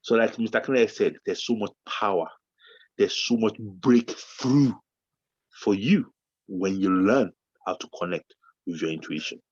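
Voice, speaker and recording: Yoruba-speaking Nigerian man, co-host, animated preacher cadence, lo-fi dry sound. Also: fairly low pitch, a little slow, breathy edge, dry recording. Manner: animated, preacher-like build-ups, bursts into shouting. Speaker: podcast co-host. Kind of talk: motivational talk show chat.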